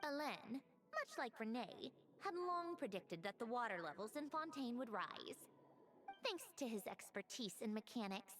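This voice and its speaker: An actress voicing a calm, wistful young woman in a fantasy game, speaking in a soft, reflective, measured way. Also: on the high side. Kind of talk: game voice acting.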